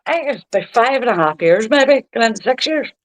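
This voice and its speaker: A woman's voice: on the high side, expressive, quick pace, a little hiss or hum. A woman from Belfast with a Belfast accent, talking casually as a guest in a podcast interview. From Belfast, Northern Ireland. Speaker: podcast guest, social media creator.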